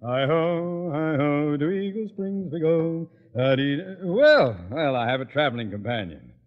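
An actor playing a pompous, scheming middle-aged school principal in old radio comedy, with a blustering, wheedling, theatrical manner. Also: male voice, fairly high pitch, expressive, measured pace, in a large hall, narrow, tinny audio. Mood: jolly. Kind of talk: 1950s radio comedy acting. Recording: noisy old-time radio recording.

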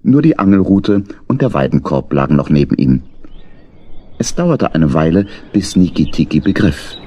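Deep, husky male storyteller. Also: big pitch swings, moderate pace, warm tone. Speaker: narrator. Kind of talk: scripted children's story narration.

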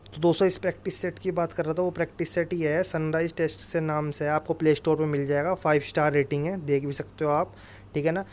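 Male exam-prep presenter, slightly high voice, informal and instructive with emphatic repetition. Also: flat delivery, quick pace, darkish tone, slight background noise. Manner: instructive, emphatic, repeats tag phrases. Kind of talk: informal explainer over screen recording.

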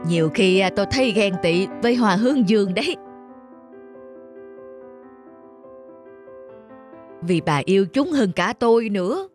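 A man's voice: sits high, animated, a little fast, music in the background. A man voicing a devoted old farmer in a cartoon, earnest and affectionate. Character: devoted old bearded farmer, tender and sorrowful husband. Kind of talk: cartoon dubbing.

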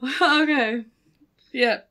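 Female tabletop player, New Zealand accent, bright high voice, playful casual chat. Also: very slow.

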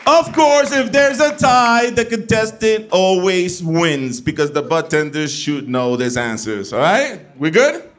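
A man in his forties from Puerto Rico with a New York accent, hosting a game show on a club stage and doing loud, profane crowd work into a handheld mic. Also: high-pitched, lively intonation, measured pace. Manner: loud, profane, teasing the audience. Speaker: comedian game show host. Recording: live club with crowd noise. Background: audience laughing and clapping.

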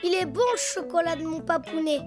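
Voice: extremely high pitch, steady tone, fairly quick, loud music behind the voice. A performer voicing a playful, easily upset little boy donkey in a children's cartoon, childlike and sing-song. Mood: cheerful.

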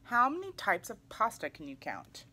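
A woman reading a picture book aloud to young children in an animated, exclamatory way. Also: fairly high pitch, moderate pace, husky. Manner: animated, exclamatory, with audible gasps. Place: small indoor room, seated in armchair.

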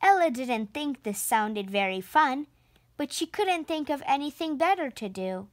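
A woman reading a children's storybook aloud in an expressive, deliberate narrating style. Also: high voice, no room sound. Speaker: storybook reader.

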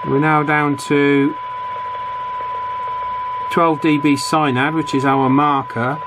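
A man's voice: on the high side, little pitch movement, slow. A man with a British accent giving casual commentary on a bench test. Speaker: radio reviewer. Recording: loud hum and radio noise.

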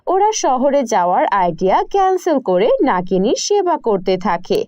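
A woman's voice: on the high side, big pitch swings, moderate pace, a little mellow, dry recording, lo-fi sound. A woman narrating a scripted cartoon fairy tale as a storyteller.

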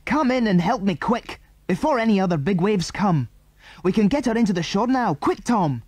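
A man voicing a bold, excitable boy in a storybook, giving urgent orders to his friends. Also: high voice, fairly quick, dry recording, pitched above the performer's own voice, close to an everyday voice. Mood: brisk, commanding. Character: bold, excitable boy leader of stranded children.

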